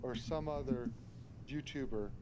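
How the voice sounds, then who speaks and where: Man talking over steady background noise. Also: mid-range voice, measured pace. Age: adult parent. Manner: hesitant, swears when annoyed. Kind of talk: casual chat.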